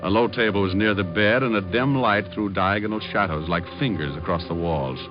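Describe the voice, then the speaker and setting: A man's voice: lowish voice, brisk, close to an everyday voice. An actor playing a dry, wisecracking male private detective who also narrates, in a 1940s radio drama. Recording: lo-fi old radio with music.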